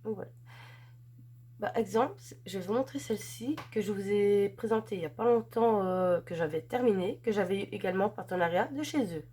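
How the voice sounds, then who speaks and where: A woman's voice: medium pitch, a little fast, warm tone, slight background noise. Woman, stuffy with a cold, chatty and gushing, filler-heavy unboxing host. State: has a head cold. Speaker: crafts channel host. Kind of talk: casual unboxing chat.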